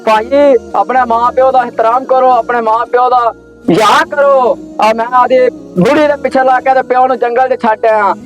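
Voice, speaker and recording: A man's voice: very high voice, steady tone, normal speed, faint music behind. A man with a Pakistani Punjabi accent addressing viewers directly as a channel presenter, making a moral appeal.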